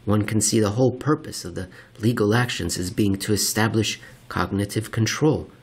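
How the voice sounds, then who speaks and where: A man narrating a written essay aloud in a deliberate, theatrical way with pointed emphasis. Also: lowish voice, slightly rough. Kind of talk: scripted narration, dramatic essay reading.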